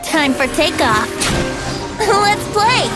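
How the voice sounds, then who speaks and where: A woman's voice: very high voice, sing-song, slow, full character voice, rough recording. A woman voicing a spirited young fighter calling out short battle cries in anime game style. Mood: eager, playful. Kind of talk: anime game combat barks. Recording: loud music and combat effects over voice.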